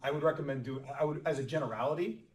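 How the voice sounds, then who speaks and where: A man, a voice actor who teaches, talks casually on a convention panel, with many fillers and restarts. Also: on the high side. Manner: halting, restarts, lots of fillers. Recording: echoey hall, distant mic, faint noise.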